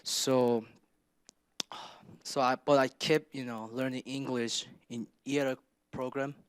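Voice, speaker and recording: Teen boy from south korea, flat even voice, clean and dry. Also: medium pitch, takes it slowly, clear, resonant tone, no room sound. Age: teenager. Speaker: student speaker. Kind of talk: personal testimony.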